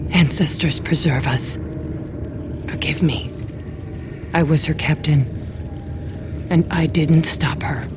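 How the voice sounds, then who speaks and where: Low, haunted, half-mad dwarf captive. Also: female voice, background noise. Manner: slow, eerie chanting verse, breaking into anguished whispers. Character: haunted, half-mad dwarf woman, captured survivor, grieving. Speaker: video game character. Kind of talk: dramatic fantasy game acting.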